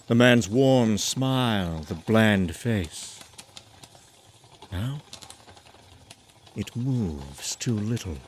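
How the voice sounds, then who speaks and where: A man playing a lonely, uneasy traveller who narrates a gothic horror tale in a brooding, halting, theatrical way. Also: lowish voice, a little hiss or hum. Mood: growing dread. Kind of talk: dramatic scripted horror narration.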